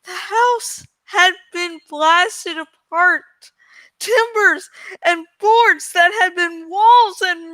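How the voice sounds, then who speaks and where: A woman's voice: extremely high pitch, pushed and bright, no echo, rough recording. A woman hosting a read-aloud, moving between casual asides and dramatic audiobook-style narration of a novel. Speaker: host reading a novel aloud. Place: home study, close dry recording.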